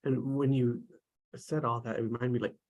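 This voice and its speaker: A man's voice: medium pitch, little pitch movement, normal speed, a little mellow, muffled recording. A man talking casually about a script at a table read, rambling with many restarts.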